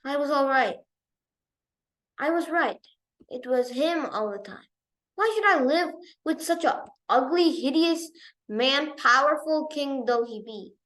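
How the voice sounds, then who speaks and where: High voiced snooty princess, done by a lady reading a storybook, quick temper, emphatic. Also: expressive, a bit bright. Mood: distressed, scornful. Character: proud, scornful young princess, vain and quick-tempered.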